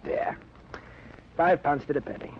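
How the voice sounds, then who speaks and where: A man's voice: on the high side, unhurried, lightly acted. Man playing fussy chatty little New shopkeeper. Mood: busy, chatty. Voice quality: hesitant, wordy, mock-formal phrasing. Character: chatty, fussy, good-natured little male candy store owner. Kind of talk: 1940s-50s radio drama acting. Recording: muffled, noisy old radio recording.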